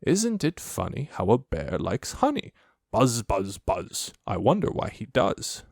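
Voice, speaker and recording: A man voicing a slow-thinking, earnest toy bear in a children's story, reasoning aloud in a ponderous, repetitive way. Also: lowish voice, wildly swooping pitch, vocal fry, no room sound, clean studio sound, full character voice. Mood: musing.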